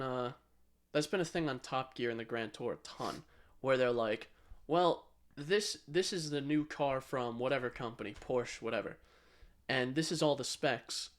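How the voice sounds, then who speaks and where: Blunt, casual man chatting. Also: mid-range voice. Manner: blunt, sweary, rambling. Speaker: podcast co-host. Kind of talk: casual tech chat.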